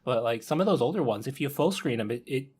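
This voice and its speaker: A man's voice: mid-range voice, animated, fast talker, darkish tone, breathy edge. A man talking casually on a podcast, conversational and given to acting out what people might say.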